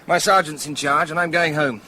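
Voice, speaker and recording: Bright, bantering deputy sheriff. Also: male voice, a little high, fairly even intonation, brisk, slight background noise. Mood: mock-aggrieved. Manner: bantering, mock-complaining. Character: teasing, hearty deputy sheriff, young expectant father. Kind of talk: British radio drama acting.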